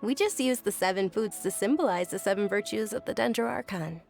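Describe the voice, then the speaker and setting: A woman voicing a gentle, gracious young noblewoman in a video game, polite and softly explanatory. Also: mid-range voice, a little fast, creaky, natural voice. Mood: gentle, informative. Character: gentle, gracious young noblewoman, frail yet cheerful and devout. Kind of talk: video game character acting. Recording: music behind the voice.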